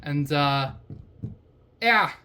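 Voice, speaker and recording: A woman's voice: sits low, expressive, extremely slow, bright, forceful tone. A woman hosting a casual product review, chatting in a wry, slangy and easily amused way. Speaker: product reviewer, vlog host.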